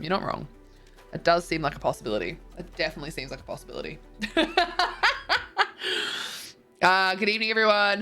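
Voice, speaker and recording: Tired, congested woman streamer. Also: sits low. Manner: chatty, rambling, many ums and likes. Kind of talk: casual chat reading viewer messages.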